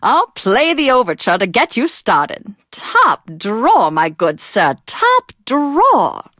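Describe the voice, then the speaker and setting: A flamboyant, upper-crust lady hosting classical radio in a video game. Her high voice swoops theatrically through every phrase, genteel and a little tipsy. The recording is very dry and close. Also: huge pitch swings, takes it slowly, a bit bright, no room sound, full character voice. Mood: delighted, refined. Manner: theatrical, affected, genteel and effusive.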